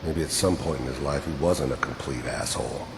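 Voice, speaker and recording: An actor playing a world-weary, bitter male mercenary in a video game, with a gravelly, plain-spoken delivery. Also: very deep voice. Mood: bitter, reflective. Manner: slow, brooding, plain-spoken. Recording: roomy, noisy game capture.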